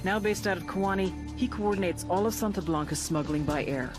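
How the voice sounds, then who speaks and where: A woman's voice: lowish voice, fairly quick, close to an everyday voice. A hard-nosed agent played by a woman narrates a mission briefing over music. She is businesslike and dryly wry, in the manner of a military game cutscene.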